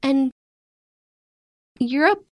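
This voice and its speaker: A woman's voice: sits high, animated, drawn-out words, exaggerated voice. A woman acting in a modern audio drama as a proud, guarded, wistful young writer, with a dry, hesitant, naturalistic delivery. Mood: resigned, wistful.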